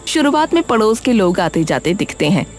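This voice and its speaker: A woman's voice: mid-range voice, very animated, fairly quick, a little mellow, faint music behind. A woman narrating a scripted cartoon story.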